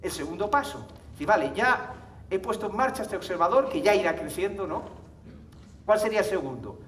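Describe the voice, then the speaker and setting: High-voiced man lecturing a hall. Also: animated, brisk, a bit bright, a little hiss or hum. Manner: conversational, frequent tag questions and laughs. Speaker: conference speaker. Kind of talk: live talk to an audience. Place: conference hall with audience. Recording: echoey hall, mic from far off.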